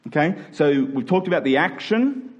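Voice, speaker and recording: A male preacher with a somewhat high voice delivers a sermon. He speaks conversationally and informally, then leans on key words, drawing them out slowly for emphasis. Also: lively intonation, dull audio. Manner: conversational, informal asides to listeners. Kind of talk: sermon to a congregation.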